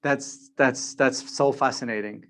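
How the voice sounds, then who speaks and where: A man's voice: medium pitch, steady tone, a little slow, fairly bright tone. A man hosting a virtual interview, speaking hesitantly with many fillers. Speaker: interviewer, host.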